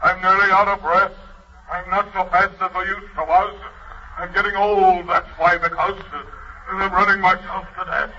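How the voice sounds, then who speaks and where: A man's voice: sits high, little pitch movement, normal speed, bright, forceful tone, a little hiss or hum. An actor playing an old, tired male dragon, out of breath and complaining in rhyme. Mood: exhausted. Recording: dull old radio recording, far from mic.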